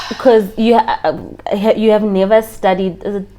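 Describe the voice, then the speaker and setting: A young woman hosting an interview, asking questions in a relaxed way and giving short agreeing sounds. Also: medium pitch, a little slow, poor audio. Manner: relaxed, frequent short backchannels. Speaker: host.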